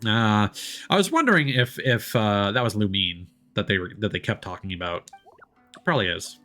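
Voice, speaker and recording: A man's voice: on the low side, animated, moderate pace, fairly bright tone, faint music behind. A man giving casual, informal, sweary live commentary as a game streamer.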